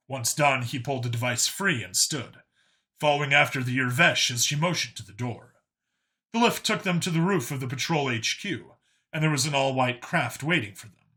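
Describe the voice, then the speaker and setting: A man's voice: medium pitch, sharp tone. A man narrating scripted fiction as an audiobook reader.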